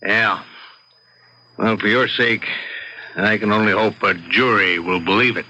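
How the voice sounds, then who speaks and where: An actor playing a seasoned, blunt police detective making an official arrest in an old-time radio drama. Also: male voice, on the low side, measured pace, muffled recording, very noisy, close to an everyday voice. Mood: firm, blunt. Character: seasoned male police detective, firm, matter-of-fact.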